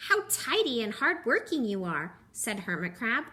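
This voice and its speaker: Lively young woman reading a picture book. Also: on the high side, moderate pace. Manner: gentle, animated storytelling with gasps and character voices. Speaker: teacher. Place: living room at home.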